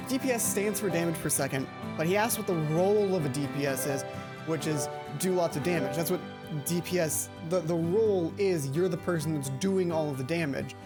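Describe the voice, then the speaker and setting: A playful video game host reads visual novel text aloud and comments along the way. The delivery rambles and often breaks off to restart, at a middling pitch between low and high, with game music clearly playing underneath. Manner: rambling, self-interrupting, playful.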